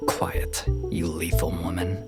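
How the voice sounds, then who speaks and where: A man playing a flirtatious, slightly anxious scientist in a visual novel, with a soft teasing delivery. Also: sits low, completely flat delivery, slow pace. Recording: loud music behind the voice.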